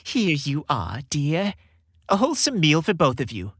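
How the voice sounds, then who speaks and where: A man's voice: fairly high pitch, huge pitch swings, a little slow, fairly bright tone, breathy edge, dry recording, clean studio sound, heavily acted. A man voicing a doting, fretful elderly mother, Santa's wife, in a motherly, fussing manner for a story. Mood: warm, coaxing.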